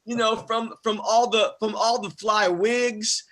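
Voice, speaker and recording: A man's voice: high voice, steady tone, a little slow, a bit bright. A man giving casual feedback on a video call, rephrasing and repeating his words as he goes. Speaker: audience member giving feedback. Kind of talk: casual spoken praise. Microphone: video call microphone.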